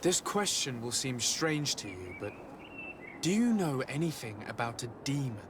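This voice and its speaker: A polite fantasy-game envoy, played by a man, composed and formal in manner. He has a medium-pitched male voice, heard over clear background ambience. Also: very animated, moderate pace, noisy background, close to an everyday voice. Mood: measured. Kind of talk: video game dialogue.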